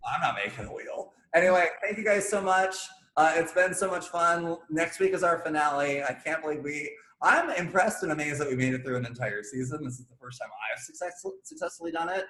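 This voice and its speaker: Animated, jokey man in an echoey room. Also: a little high, a little fast. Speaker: podcast co-host. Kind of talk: casual chat.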